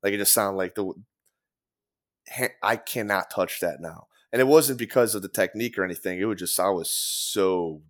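Deep-voiced man rambling about music. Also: normal speed. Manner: rambling, many fillers and restarts, casual swearing. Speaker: podcast guest, former band guitarist. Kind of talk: casual conversation.

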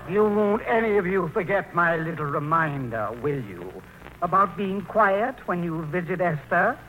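A man's voice: sits high, lively intonation, normal speed, faint music behind. An actor playing an imperious, coldly polite older man with pompous, precise, formal speech in 1940s radio drama style. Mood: pompous, commanding. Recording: muffled, noisy old radio broadcast.

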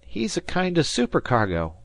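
Male reader as cheeky young fishing lad for an audiobook, eager, breezy, raised pitch, very lively. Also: normal speed, gravelly, exaggerated voice. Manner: breezy, colloquial.

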